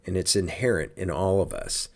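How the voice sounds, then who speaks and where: A man with a raspy, hoarse voice from a sinus cold, hosting a casual church podcast in an easygoing, chatty way. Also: deep voice, moderate pace. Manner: easygoing, chatty, with many fillers. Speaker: podcast host.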